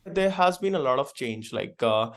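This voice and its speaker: A man's voice: medium pitch, animated, normal speed. A man answering interview questions hesitantly, with many filler sounds. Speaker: guest.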